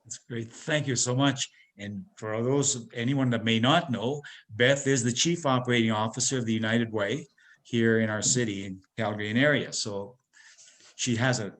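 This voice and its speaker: A man moderating a forum panel, introducing each speaker in a loose, rambling way with many restarts. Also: mid-range voice.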